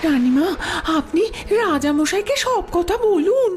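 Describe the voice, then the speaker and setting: A woman's voice: very high voice, sing-song, unhurried, a little mellow, exaggerated voice. A woman playing a devoted, anxious palace maidservant in a fairy-tale audio story, pleading and dramatic. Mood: anxious, earnest. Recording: music under the voice.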